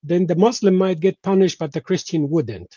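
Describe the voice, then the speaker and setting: A man's voice: high-pitched, animated, moderate pace, dark tone, husky, dry recording, muffled recording. A man whose first language is Egyptian Arabic, speaking as an interview guest, hesitant, with many fillers and restarts. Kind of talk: conversational interview.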